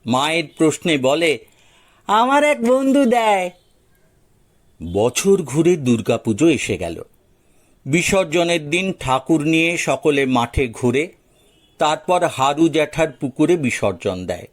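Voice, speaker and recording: A man's voice: fairly high pitch, animated, a little slow. A man narrating a folk tale as a storyteller, in a dramatized audio story style.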